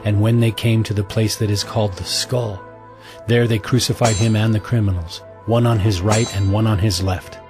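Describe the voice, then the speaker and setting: A man giving measured, solemn scripted narration of scripture. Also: fairly low pitch, steady tone. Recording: prominent music bed.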